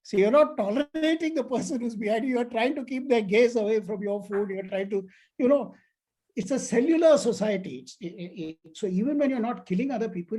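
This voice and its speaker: A man's voice: sits high, warm tone, poor audio. An older man speaking as a guest lecturer, answering a question in a discursive, emphatic academic manner and illustrating points with anecdotes.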